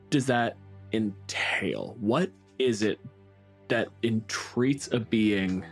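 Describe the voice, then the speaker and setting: A man narrating a tabletop game as game master, describing scenes in deliberate, vivid phrases. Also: medium pitch, slightly rough. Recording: music behind the voice. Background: background music.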